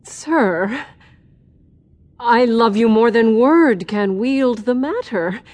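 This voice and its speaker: An actress playing a flattering, formal princess, measured and declamatory, in a Shakespearean audio drama. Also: female voice, a little high. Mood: solemn flattery. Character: king's eldest daughter, a flattering, formal noblewoman.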